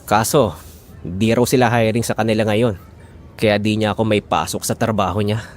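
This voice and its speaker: A man's voice: lowish voice, fairly even intonation, normal speed, soft background music, close to an everyday voice. A man acting as a struggling, steadfast husband and father, earnest and imploring, in an audio drama. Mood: discouraged. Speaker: voice actor.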